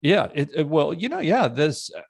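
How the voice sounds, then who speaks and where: A man's voice: on the high side, very animated, normal speed, vocal fry, slightly rough, no room sound. Enthusiastic man hosting, close and dry. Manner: enthusiastic, effusive. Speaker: podcast host. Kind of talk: casual podcast chat.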